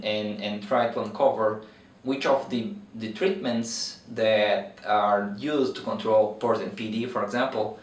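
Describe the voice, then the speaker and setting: Brazilian-accented man, roomy and distant. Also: on the low side. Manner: explains at length with frequent fillers and restarts. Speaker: veterinary researcher. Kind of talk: interview answers. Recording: roomy echo, mic from afar.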